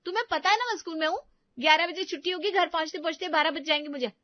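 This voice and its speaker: A woman doing a high, harried young daughter-in-law. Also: very fast, dry recording, dull audio. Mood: exasperated. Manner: exasperated, defensive. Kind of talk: Hindi comedy story dramatization.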